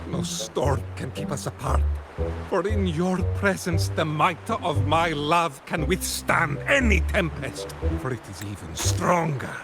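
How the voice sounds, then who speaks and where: A melodramatic, self-assured romantic fellow in a video game. His fairly high voice sweeps up and down in slow, declamatory phrases over prominent music. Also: very animated. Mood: passionate yearning. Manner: theatrical, declamatory. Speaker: companion character in a video game.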